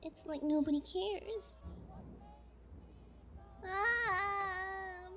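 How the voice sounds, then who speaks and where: Woman playing a high-voiced, playfully menacing female kidnapper. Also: pushed and bright, a little hiss or hum, heavily acted. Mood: mock hurt. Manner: sugary taunting, drawn-out, theatrical mock pouting. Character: playful young female fiend kidnapper, sociopathic, childish, mocking. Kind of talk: ASMR audio roleplay.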